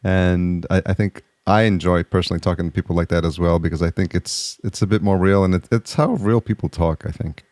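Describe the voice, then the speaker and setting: A man hosting a podcast interview, friendly and halting, often restarting his sentences. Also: sits low, fairly even intonation, a little mellow, no echo, clean studio sound. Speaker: podcast host. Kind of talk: casual interview chat.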